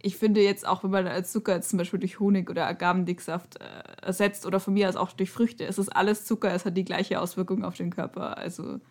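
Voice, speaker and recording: A woman's voice: on the low side, flat delivery, fast, few pauses. A woman chatting casually as a podcast guest, blunt and argumentative in the way she talks.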